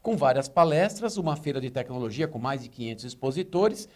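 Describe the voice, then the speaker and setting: A man's voice: mid-range voice, expressive, brisk, a little mellow. A man with a Brazilian Portuguese accent, a retail consultant answering interview questions in a fluent, explanatory way on a business TV show. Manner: fluent, explanatory, tells anecdotes.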